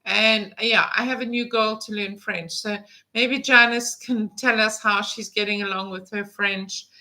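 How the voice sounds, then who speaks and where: Bright, chatty woman vlogger. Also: medium pitch, steady tone, a little slow, poor audio. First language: English. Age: 50s. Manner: chatty and rambling, with many fillers and restarts. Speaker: vlog host. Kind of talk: casual live-stream chat.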